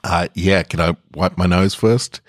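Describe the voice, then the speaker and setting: A man voicing a shy, awkward adolescent boy at a school dance, talking in fumbling, self-conscious, halting phrases. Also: low voice, fairly even intonation, no echo, clean studio sound. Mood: sheepish.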